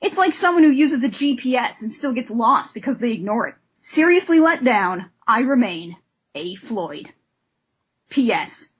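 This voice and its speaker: A woman co-hosting a comic discussion podcast, expressive and theatrical when she reads aloud. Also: fairly high pitch, unhurried, slightly rough. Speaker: podcast co-host. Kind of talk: comic discussion podcast with dramatic reading of letters.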